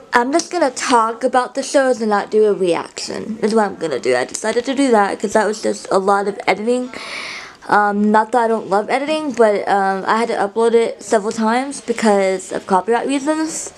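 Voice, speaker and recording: A young woman vlogging casually in her bedroom, talking to a handheld camera held close to her face, rambling with lots of fillers and restarts. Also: mid-range voice. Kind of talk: casual vlog chat to camera. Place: small bedroom, indoors.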